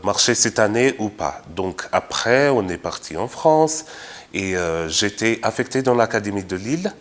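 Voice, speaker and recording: A young man from Kenya, a former language assistant, speaking in a testimonial with many fillers and restarts. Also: fairly low pitch. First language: English. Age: young adult. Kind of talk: testimonial talk to camera.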